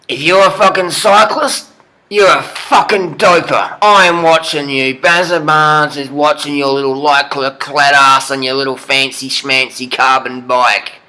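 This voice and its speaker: A crude, foul-mouthed Australian bloke, as a man puts him on, venting in a comic rant that tumbles over its own restarts. The voice sits a touch high for a man and cuts with a hard, sharp edge. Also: low-quality recording. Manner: ranting, heavy swearing, rambling restarts. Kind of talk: comic character monologue.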